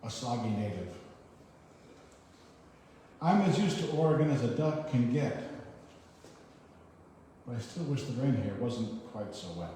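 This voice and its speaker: A man giving a live poetry reading, with informal, halting, self-deprecating commentary between poems. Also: medium pitch, animated, mellow. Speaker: reader at a poetry event. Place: echoey hall at a live event. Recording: noisy, echoey hall, distant mic.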